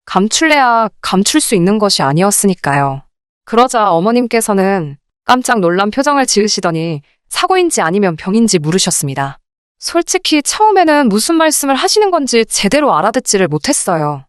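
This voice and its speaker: A woman's voice: medium pitch, sing-song, a little fast, clean tone, no echo, studio quality. A woman reading a scripted first-person story as a radio drama narrator.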